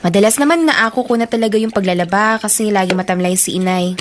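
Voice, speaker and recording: A woman's voice: mid-range voice, fast talker, noisy background, close to an everyday voice. An actress playing a respectful, dutiful Filipino schoolgirl in a radio drama. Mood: worried, confiding.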